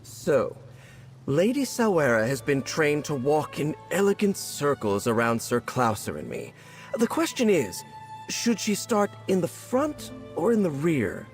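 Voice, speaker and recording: This is a pompous game character, an earnest showman presenting a prized act. The pitch sits very high for a man and leaps about theatrically. Florid and fussy, he speaks over prominent music. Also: wildly swooping pitch, a little slow, heavily acted. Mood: showy, earnest. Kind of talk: theatrical video game dialogue.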